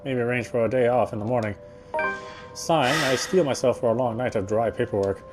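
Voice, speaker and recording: A man reading first-person story narration aloud in a theatrical, fussy gentleman's manner. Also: mid-range voice, fairly even intonation, fairly quick, loud music behind the voice. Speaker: game player reading aloud.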